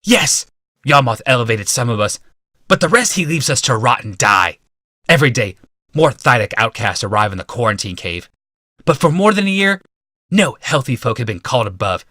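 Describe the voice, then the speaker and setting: A man voicing a young, bitter rebel leader haranguing a mob in a shouted, oratorical audiobook performance. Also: medium pitch, big pitch swings, normal speed, no room sound, studio quality, pitched above the performer's own voice. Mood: angry, bitter. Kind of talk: audiobook character voice.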